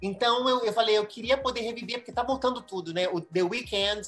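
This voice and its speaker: A woman's voice: fairly high pitch, a little fast, a bit bright, soft background music. A Brazilian woman in her thirties, a singer-songwriter, talking expressively in a casual interview. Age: 30s.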